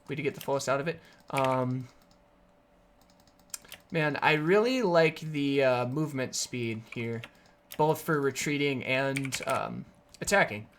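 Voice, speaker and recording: A game streamer giving live gameplay commentary in a raspy, sore voice, upbeat and explaining plays with sudden excited outbursts. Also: medium pitch, measured pace, long pauses, slight background noise. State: raspy, sore voice from energy drinks.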